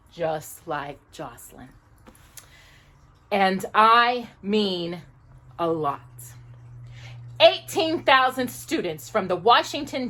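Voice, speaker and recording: A woman hosting a children's storytime, reading aloud with emphatic stresses, dramatic pauses and asides to her listener. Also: mid-range voice, a bit bright.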